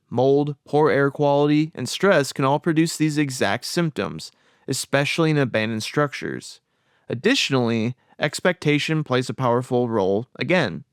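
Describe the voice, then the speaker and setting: A man narrating a scripted voice-over breakdown in a measured, explanatory way. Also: mid-range voice, clean tone, no room sound, studio quality. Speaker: video commentator.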